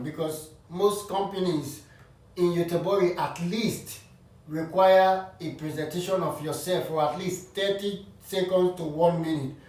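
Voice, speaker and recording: A man's voice: high-pitched, a little mellow. Frank man talking from across an echoey room. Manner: frank, opinionated, with frequent restarts. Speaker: vlogger. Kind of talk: casual advice talk to camera. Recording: roomy echo, distant from the mic.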